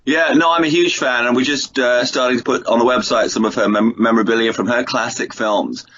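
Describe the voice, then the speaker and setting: A man with a mid-pitched voice speaks conversationally as an interview guest. He is jovial and talkative, and the remote call makes him sound slightly muffled and lo-fi. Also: fairly even intonation, brisk, a bit bright. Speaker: interview guest, author and martial arts film expert. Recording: dull lo-fi call line.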